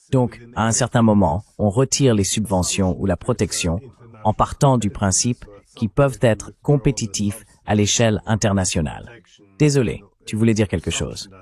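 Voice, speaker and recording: A man's voice: fairly low pitch, darkish tone. A man delivering a French voice-over translation of an interview host, laying out analysis in complete sentences.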